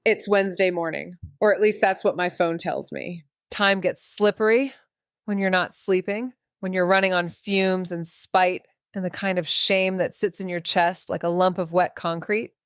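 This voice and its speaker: Low, close noir actress as a haunted woman sleuth. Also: fairly even intonation, normal speed, smooth, dry recording, close to an everyday voice. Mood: brooding. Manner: wry, introspective, brooding. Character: haunted, guilt-ridden female amateur sleuth, wry and defensive. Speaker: narrator and lead character of an audio drama. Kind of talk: first-person noir narration and dramatic dialogue.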